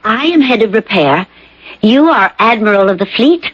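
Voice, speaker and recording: An actress playing a suspicious, insistent official of a dystopian future, urgent and formally clipped, in radio drama style. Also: female voice, medium pitch, lively intonation, moderate pace, no echo, close to an everyday voice. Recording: dull, dry old radio recording.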